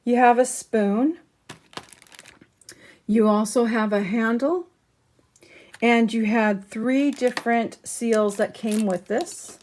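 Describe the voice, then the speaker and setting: A woman talking casually through a craft haul, losing her voice a little and clearing her throat, with frequent ums as she shows each item. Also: on the high side, takes it slowly, pauses often, a little mellow, a little hiss or hum. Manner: chatty, many ums, showing items up close. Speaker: crafting channel host. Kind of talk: casual haul chat to camera.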